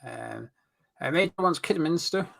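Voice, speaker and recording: A man's voice: fairly high pitch, animated, normal speed, vocal fry, a bit bright. A man co-hosting a casual live football chat, reading out viewer comments and teasing his co-host. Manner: reads out comments, teasing banter.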